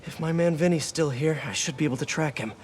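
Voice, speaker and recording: A man's voice: on the high side, fast, slight background noise, close to an everyday voice. A man voicing an earnest, wisecracking young American masked hero in a video game, muttering quips to himself in a naturalistic way. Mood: anxious, concentrated. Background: music.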